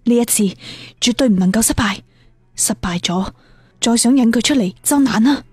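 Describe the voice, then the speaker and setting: A man with a Cantonese accent voicing a determined, intense young martial-arts hero in an audio story, in a husky, strained voice. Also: very high voice, normal speed, darkish tone, dry recording, faint music behind, pitched above the performer's own voice. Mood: tense resolve.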